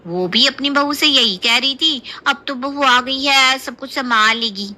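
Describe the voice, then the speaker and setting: A woman's voice: high voice, unhurried, pushed and bright, exaggerated voice. A woman playing a gossipy, mischievous young daughter in a cartoon story, chatty, insistent and needling. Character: gossipy, mischievous young daughter and sister-in-law, blurting everything. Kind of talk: Hindi cartoon story voice acting.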